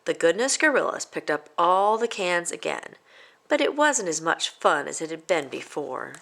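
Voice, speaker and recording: A woman reading a children's picture book aloud as expressive story narration. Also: fairly low pitch, moderate pace, creaky. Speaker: storybook reader.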